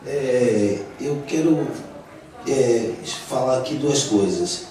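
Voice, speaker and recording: Slow-talking Brazilian man from Bahia. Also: medium pitch, lively intonation, warm tone, soft background music. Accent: Brazilian Portuguese, from Bahia. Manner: slow, thoughtful, with repeated hesitations. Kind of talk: public discussion in a café. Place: café hall, live event. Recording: roomy echo, distant mic, background noise.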